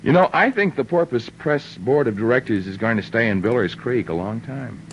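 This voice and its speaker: A man's voice: medium pitch, animated, normal speed, dull audio, slight background noise, natural voice. An actor playing a breezy, wisecracking young male author in 1940s radio drama style, joking and teasing. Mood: charming.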